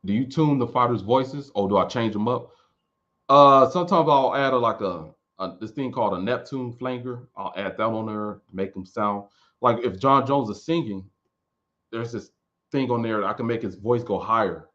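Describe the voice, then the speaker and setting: A man's voice: medium pitch, normal speed, fairly bright tone, lo-fi sound. Rambling man in his late thirties. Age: 30s. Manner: loose, rambling, frequent restarts. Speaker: YouTube remix producer answering viewers. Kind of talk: casual live chat.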